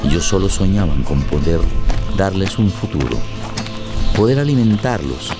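A man's voice: deep voice, sing-song, moderate pace. A man narrating a radio play in a dramatic, scripted style. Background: music.

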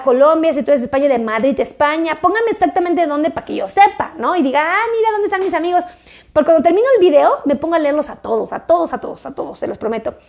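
A woman's voice: high voice, vocal fry, darkish tone. A woman doctor talking casually to her viewers, chatty and repetitive, coaxing them along. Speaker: doctor and health video creator. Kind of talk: casual direct-to-camera health talk.

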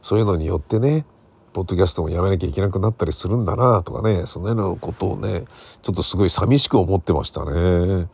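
A man's voice: low-pitched, fast, vocal fry, slightly rough. A middle-aged man hosting a solo podcast in a casual, rambling, conversational way, recorded on a smartphone. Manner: rambling, conversational, seeking agreement with soft sentence endings. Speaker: podcast host and radio drama writer.

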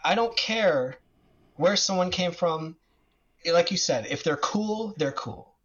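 A man's voice: high voice, animated, slow pace, fairly bright tone. A man from the United States talking casually as a guest about politics, rambling with many restarts and fillers. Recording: slightly dull lo-fi audio.

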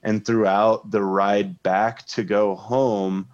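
Low-voiced man telling stories, slightly muffled audio. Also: little pitch movement, slow, a little mellow. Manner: profane, slangy. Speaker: podcast co-host guest. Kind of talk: casual chat, animated storytelling. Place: online voice chat. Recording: dull lo-fi voice chat audio.

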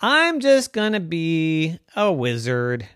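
A bedtime storyteller voicing Wanda, a clumsy, cheerful would-be girl knight who squeals in high dismay. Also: very animated, slow, fairly bright tone, clear, resonant tone, no room sound, clean studio sound. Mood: excitable.

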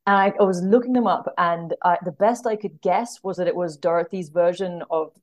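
A woman's voice: lowish voice, steady tone, fairly quick, lo-fi sound. A woman speaking as a guest translator in casual podcast chat, thoughtfully telling anecdotes and often circling back to rephrase.

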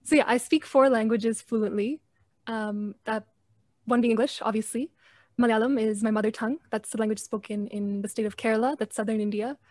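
Soft-spoken young woman podcast guest. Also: fairly high pitch, steady tone, brisk, no echo, studio quality. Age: 20s. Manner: soft-spoken, hesitant with many ums. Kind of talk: casual podcast chat.

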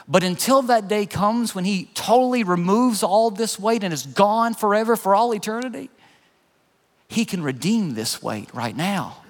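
Higher-voiced male preacher in a reverberant hall. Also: expressive, moderate pace. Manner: conversational, earnest, uses rhetorical questions. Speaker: pastor preaching. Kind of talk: sermon with personal storytelling and illustration. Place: large church room, preaching to a congregation.

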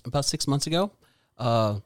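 A young man co-hosting a podcast, chatting casually with crude, self-mocking, deadpan teasing. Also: medium pitch, moderate pace, dry recording, studio quality. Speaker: podcast co-host. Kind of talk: casual banter.

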